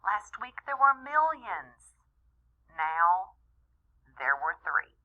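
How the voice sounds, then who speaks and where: Bright-voiced woman reading slowly to children. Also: medium pitch, lo-fi sound. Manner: animated, deliberate, with small asides to young listeners. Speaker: storyteller reading a picture book to children. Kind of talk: expressive read-aloud of a rhyming children's story.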